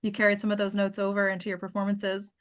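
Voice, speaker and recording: A woman's voice: mid-range voice, completely flat delivery, fast talker, clean tone. A woman hosting and coaching a casual group discussion, explaining things in an encouraging way. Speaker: host and acting coach.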